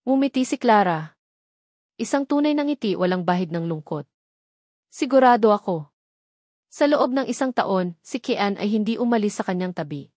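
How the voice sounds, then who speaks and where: A woman reading a scripted dramatic story in a measured, solemn storytelling manner. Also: mid-range voice, expressive, a little mellow, dry recording, clean studio sound. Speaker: story narrator.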